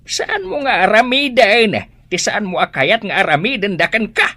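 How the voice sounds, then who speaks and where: A woman with an Ilocano Filipino accent playing a scolding, accusing village elder in a comedic radio drama, husky and sharp. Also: mid-range voice, expressive, normal speed.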